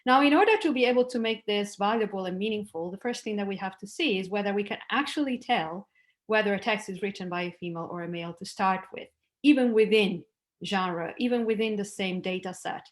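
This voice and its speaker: A woman's voice: mid-range voice, brisk. A middle-aged woman, a native Italian speaker, giving an academic talk over a video call, conversational and prone to restarts. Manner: conversational, with frequent restarts and "like" fillers. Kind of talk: conference talk over slides.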